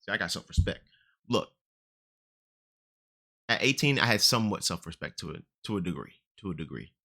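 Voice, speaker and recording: A man in his twenties reacting to a clip on a live stream, loud, jokey and full of slang. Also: sits low, lively intonation, brisk. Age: 20s.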